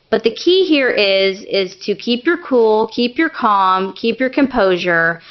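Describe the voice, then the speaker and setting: Woman, tarot reader, gentle reassuring advice, informal delivery, mid-range pitch, muffled lo-fi recording. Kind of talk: informal spoken tarot reading. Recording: dull lo-fi sound.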